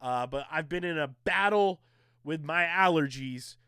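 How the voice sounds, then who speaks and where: A man's voice: fairly high pitch, a little slow, pushed and bright, smooth, dry recording, clean studio sound. A male podcast host from the area, sounding a little nasal from allergies, talking sports in an emphatic, hyped-up way.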